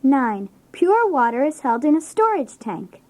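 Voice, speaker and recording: A woman's voice: high-pitched, sing-song, a little slow, a little mellow. A woman playing a guileless, slightly uncertain young schoolchild in a children's read-along. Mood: reciting.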